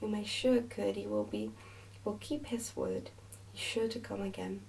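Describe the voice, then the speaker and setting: A woman's voice: mid-range voice, big pitch swings, unhurried, vocal fry, a little mellow, distant mic, a little hiss or hum, low-quality recording. A woman voicing an earnest young princess in a storybook, pleading and insistent but proudly principled. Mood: grateful, sure.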